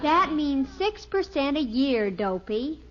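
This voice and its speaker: Pert American teen daughter, high and animated, exasperated big-sister attitude, dull old radio sound. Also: female voice, takes it slowly, exaggerated voice. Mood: scornful, exasperated. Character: teenage daughter, pert and scornful of her little brother. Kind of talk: 1950s radio sitcom acting. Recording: muffled, faintly noisy 1950s radio recording.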